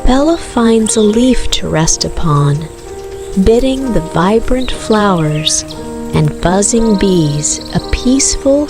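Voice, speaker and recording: A woman narrates a children's bedtime story at a very slow pace. Her delivery is gentle and soothing, as if speaking to small children settling down to sleep. Clear background music plays under her voice, along with rainforest night sounds of crickets and frogs. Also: mid-range voice. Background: music with rainforest night sounds of rain, crickets and frogs.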